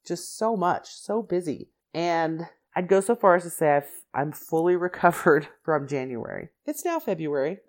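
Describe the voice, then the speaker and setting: A woman hosting her own podcast, chatting casually and rambling with asides, her voice a little raspy and congested from a recent flu. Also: on the low side, expressive, normal speed, few pauses, darkish tone. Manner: chatty and rambling, many "like" and "you know" asides. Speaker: podcast host.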